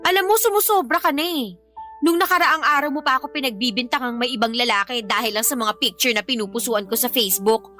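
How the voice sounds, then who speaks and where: A woman's voice: fairly high pitch, fast, bright, forceful tone, faint music behind. An actress playing an irritated, defiant young mother in a radio drama, sarcastic and scolding, with a Filipino accent. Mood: exasperated scolding.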